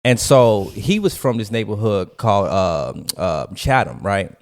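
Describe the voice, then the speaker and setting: Low-voiced man telling stories casually. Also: animated, a little slow. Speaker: podcast co-host and storyteller. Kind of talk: casual conversational storytelling.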